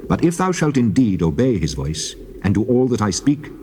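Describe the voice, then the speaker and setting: A man's voice: fairly low pitch, very animated, moderate pace, darkish tone. A man playing a solemn, authoritative God in a dramatized scripture reading. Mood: solemn, commanding. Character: God of Israel, male, commanding, solemn lawgiver. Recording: clearly noisy old cassette tape.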